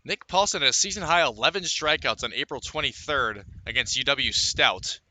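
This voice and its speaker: A man doing live baseball play-by-play as a radio announcer, describing the action in a measured way with pauses between calls. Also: mid-range voice, expressive, sharp tone, no echo, rough recording. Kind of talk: live sports commentary.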